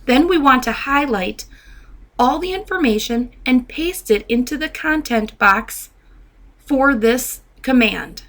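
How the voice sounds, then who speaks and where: A woman narrating a step-by-step software tutorial as a trainer, in a methodical, instructional way. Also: high-pitched, expressive, slow pace.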